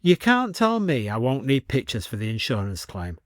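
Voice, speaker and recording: A man's voice: mid-range voice, huge pitch swings, normal speed, dry recording, studio quality. A man voicing a pedantic, defensive male villager in a story reading.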